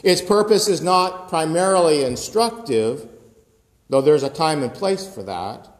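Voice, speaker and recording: Slow-speaking pastor in an echoing church. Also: male voice, a little high, expressive. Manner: conversational preaching with gestures and asides. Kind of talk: sermon. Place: church sanctuary with high ceiling. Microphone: phone camera close up, looking upward. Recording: echoey church with long reverb.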